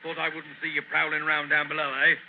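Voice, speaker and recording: A gruff, gravelly householder barking threats, played by a man. The sound is narrow and tinny like an old radio broadcast. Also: fairly high pitch, monotone, brisk, slight background noise, lightly acted. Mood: threatening. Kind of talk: 1940s radio acting.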